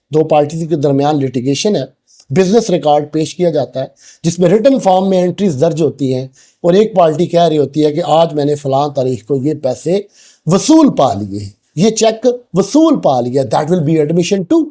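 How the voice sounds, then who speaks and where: A man with a Pakistani accent giving a law lecture, explaining slowly and deliberately, dropping English legal phrases between his sentences. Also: fairly high pitch, animated, warm tone.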